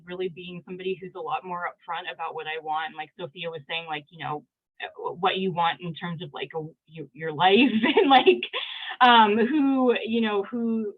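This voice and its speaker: A woman researcher talking enthusiastically about science on a video call panel, rambling with many "likes". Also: mid-range voice. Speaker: early career researcher guest. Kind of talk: casual panel chat. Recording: tinny phone-like call audio.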